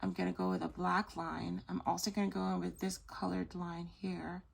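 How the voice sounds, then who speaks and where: A woman hosting a nail art tutorial, chatty and gushing, slightly hoarse as she loses her voice. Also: lowish voice, flat delivery, moderate pace. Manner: chatty, gushing, self-correcting. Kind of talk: casual tutorial chat.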